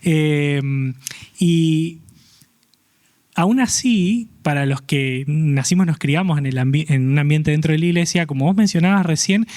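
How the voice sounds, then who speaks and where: A man hosts a youth church interview, speaking in a friendly, joking way with halting fillers. His voice sits a bit high for a man. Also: a little mellow. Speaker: host.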